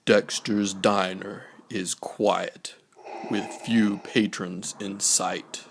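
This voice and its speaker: A man's voice: on the low side, flat delivery, extremely slow, gravelly. A man reading a children's bedtime story as a scripted narrator, on a noisy recording.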